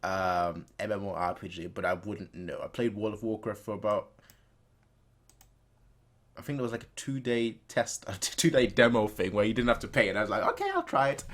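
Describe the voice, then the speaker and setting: A man's voice: lowish voice, fairly quick. A man casually commenting as a reaction video host, repeating himself as he works out his thoughts.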